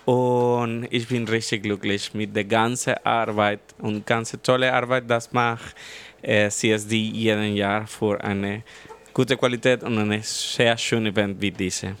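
Clear-voiced man with a Venezuelan accent. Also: lowish voice, level tone, unhurried, fairly bright tone. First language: Spanish. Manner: hesitant, non-native phrasing. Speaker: event team member being interviewed. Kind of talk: halting spoken self-introduction.

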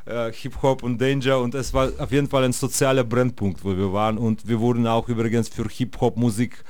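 A man's voice: medium pitch, little pitch movement, fairly quick, darkish tone, no room sound. Man, rambling interview guest. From Russia. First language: Russian. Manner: rambling, many fillers and restarts. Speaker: guest musician. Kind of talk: casual interview.

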